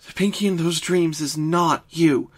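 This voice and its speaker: Caring, bookish young female cartoon unicorn acted by a storyteller, higher register, earnest and reassuring. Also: fairly even intonation, a little slow, natural voice.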